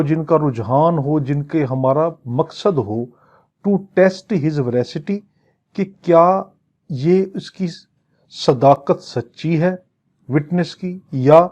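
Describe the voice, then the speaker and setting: A man giving a law lecture, reading English statute lines slowly and then explaining them. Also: a little high, mellow, muffled recording.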